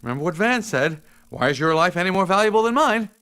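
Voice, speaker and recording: Game lead, young bee: sardonic chatterbox, bright forceful tone, animated swings in pitch. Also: male voice, fast talker, clean tone, no room sound, clean studio sound, full character voice. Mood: nervous banter. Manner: sardonic, conversational, exclaiming. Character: wisecracking, chatty, excitable young male bee. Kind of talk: animated game cutscene acting.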